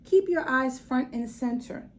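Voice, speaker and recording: A woman's voice: sits high, a little slow. A woman voicing an encouraging, firm father in a children's story, emphatic and reassuring as he coaches his daughter. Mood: firm, coaching.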